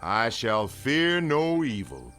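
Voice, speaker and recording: An actor playing a solemn, pious Old West reverend in a radio drama, intoning his words gravely. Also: medium pitch, wildly swooping pitch, a bit bright, faint music behind, full character voice. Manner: slow, intoning.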